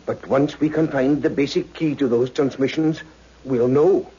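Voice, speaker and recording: Mellow, husky radio-drama astronomer, acted by a man. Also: medium pitch, steady tone, moderate pace, close to an everyday voice. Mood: businesslike. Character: sensible, plain-spoken male astronomer colleague. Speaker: supporting actor in radio serial. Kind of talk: old-time radio science fiction drama acting. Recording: muffled, dull old radio recording.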